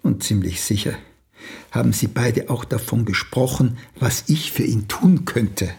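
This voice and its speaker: Radio drama: proud elderly man of letters, gravelly voice, sardonic, blunt, dismissive, mid pitch. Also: measured pace, a little mellow. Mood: wry, musing. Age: older. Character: proud old celebrated male playwright, caustic and blunt. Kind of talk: radio play acting.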